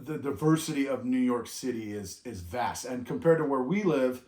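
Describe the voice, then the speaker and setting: A man's voice: mid-range voice, lively intonation, normal speed, darkish tone. Middle-aged guy co-hosting a travel vlog, loose rambly talk, lots of restarts, echoey room. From California. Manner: rambling, with many restarts and trailing off. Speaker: vlog co-host. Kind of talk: reflective casual chat.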